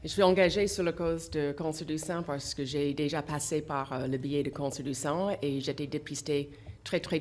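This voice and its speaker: A middle-aged woman with an English accent speaks French as her second language. Her voice sits very low, and her phrasing is halting, full of "euh" pauses and restarts. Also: darkish tone. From English-speaking country. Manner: halting, many fillers and restarts. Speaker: association founder, guest. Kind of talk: radio interview. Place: radio studio.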